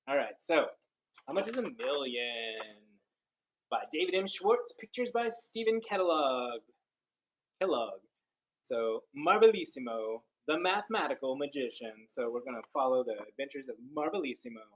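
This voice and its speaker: A man reading a children's book aloud like a teacher, expressive and playful, drawing out key words and dropping in casual joking asides. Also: fairly high pitch, moderate pace. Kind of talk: children's storybook read-aloud with casual commentary.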